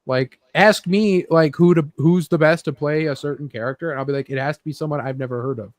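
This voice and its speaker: Casual man, slightly high-pitched, close sound. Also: fairly quick, dry recording. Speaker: podcast co-host. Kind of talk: casual chat about movies and games.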